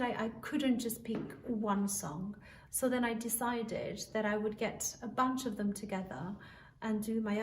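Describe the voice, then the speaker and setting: A woman's voice: mid-range voice, a little mellow, a little hiss or hum. A woman from Albania with an Albanian accent, a musician informally introducing her own performance. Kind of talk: informal spoken introduction to camera. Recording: roomy echo, distant mic.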